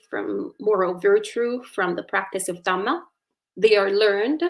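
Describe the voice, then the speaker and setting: Woman, Italian accent, Buddhist nun, gravelly edge, middle pitch, measured teaching talk, frequent ums. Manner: thoughtful, with many ums and uhs and restarts. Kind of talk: explanatory dharma talk.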